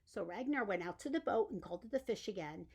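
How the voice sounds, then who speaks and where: A woman reading a bedtime story aloud in an expressive storytelling style. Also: medium pitch, fast talker, vocal fry, breathy edge. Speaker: storyteller reading a picture book.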